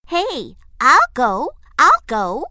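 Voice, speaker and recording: A woman's voice: high-pitched, huge pitch swings, extremely slow, sharp tone, no room sound, studio quality, voice pushed up, full character voice. A woman voicing a small, shy male possum who stammers timidly in a told story. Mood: eager.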